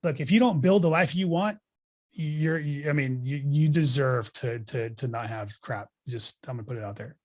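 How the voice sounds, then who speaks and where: A man's voice: medium pitch, moderate pace. A man in his forties talking as a guest in an interview, blunt and emphatic, giving motivational advice with casual swearing. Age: 40s. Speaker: podcast guest, real estate investor.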